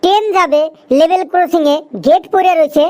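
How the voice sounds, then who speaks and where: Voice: very high voice, fairly even intonation, brisk, mellow. A performer voicing a talkative, earnest young cartoon friend in an eastern Bengali dialect, speaking in an emphatic, colloquial, preachy way. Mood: indignant, scolding. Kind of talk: cartoon dialogue with moral advice.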